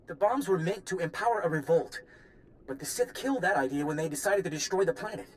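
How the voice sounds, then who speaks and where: An actor playing an anxious, harried Republic official in a video game, earnest and full of worried complaint. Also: male voice, high-pitched, fast, a little hiss or hum, natural voice, low-quality recording. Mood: frustrated, anxious. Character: frazzled, self-pitying male Republic official, anxious and pleading. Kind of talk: video game character acting.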